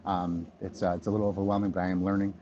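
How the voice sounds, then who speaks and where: A man's voice: flat delivery, very fast, warm tone. A man in his thirties from the Detroit area, chatting casually on a podcast and rambling with many ums and restarts. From Michigan, near Detroit. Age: 30s. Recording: dull low-fi audio, faint noise.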